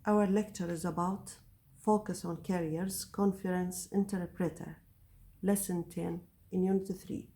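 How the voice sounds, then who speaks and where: Woman teacher, slow careful reading, mellow dark tone. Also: on the low side, fairly even intonation. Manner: reads text aloud, glossing words in Arabic. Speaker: English teacher. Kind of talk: slow read-aloud lesson with translations.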